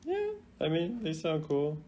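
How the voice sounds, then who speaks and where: A young man giving casual, playful reaction commentary as a gaming video host, jumping between loud exclamations and low mutters. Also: wildly swooping pitch, unhurried, a little hiss or hum. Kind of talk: casual reaction commentary ranking game voice lines.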